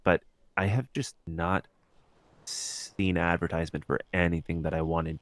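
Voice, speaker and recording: A man with a deep voice chats casually as a podcast co-host. He speaks conversationally, and the sound is dry, with hardly any room around it. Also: fairly even intonation, a little slow, fairly bright tone, no room sound. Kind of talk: casual chat.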